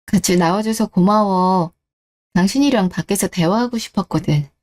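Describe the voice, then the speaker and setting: An earnest wife in a radio drama, played by a woman. She has a mellow, dark-toned voice at a middle pitch. She sounds sincere and wounded, pressing for answers. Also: moderate pace, lightly acted. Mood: earnest, pleading. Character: married daughter, suspicious wife, earnest and hurt. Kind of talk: scripted radio-drama dialogue.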